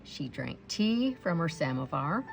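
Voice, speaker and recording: Woman storybook narrator: very unhurried, lowish voice with a gravelly edge, expressive delivery for young children. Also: soft background music. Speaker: storybook read-aloud reader.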